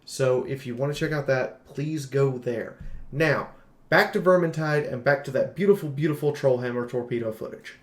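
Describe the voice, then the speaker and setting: A man giving casual, unscripted game commentary as a reviewer, rambling with animated asides and slang. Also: medium pitch, moderate pace. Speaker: video game reviewer and streamer. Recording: echoey room.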